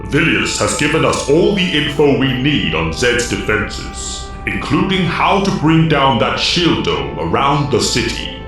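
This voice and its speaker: A man playing a solemn, wise mentor who commands young heroes in a superhero audio drama, speaking formally and gravely. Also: mid-range voice, very animated, a little slow, hardly any pauses. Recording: very echoey voice over clear music.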